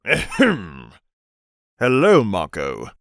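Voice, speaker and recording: A man's voice: fairly low pitch, wildly swooping pitch, drawn-out words, no room sound, studio quality, exaggerated voice. A man playing a stiff, awkward middle-aged know-it-all in a cartoon comic dub, stilted and matter-of-fact.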